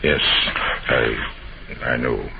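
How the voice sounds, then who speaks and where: An actor playing a level-headed, authoritative American private detective with a brisk, clipped delivery in old-time radio drama. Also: male voice, rumbling low, creaky, heavily acted. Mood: grave, probing. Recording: very noisy, muffled vintage radio recording.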